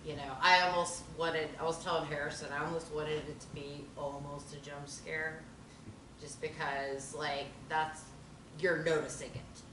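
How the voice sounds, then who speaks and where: A woman with a low, grounded voice talks through her thoughts as a performer, pausing and circling back as she goes. She sounds distant from the mic. Her voice echoes around a room with a steady noise underneath. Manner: thoughtful, searching, many fillers. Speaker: actor who read stage directions. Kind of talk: casual feedback discussion.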